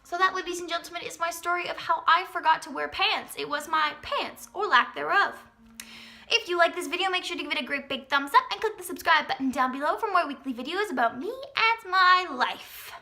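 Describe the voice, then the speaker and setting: A young woman tells a personal story as a vlogger. Her voice sits high and has a bright, forward edge. The delivery is theatrical and emphatic, built for comedic storytelling. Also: expressive, fairly quick. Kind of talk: dramatic comedic storytelling to camera.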